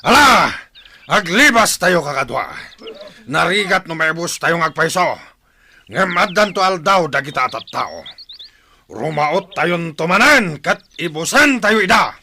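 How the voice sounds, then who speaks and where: A male actor as a war-hungry chieftain in a radio drama. His voice is gravelly and sharply bright, swinging widely as he orders people about. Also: fairly high pitch, big pitch swings, measured pace, exaggerated voice. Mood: urgent, commanding. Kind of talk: Ilocano radio drama acting.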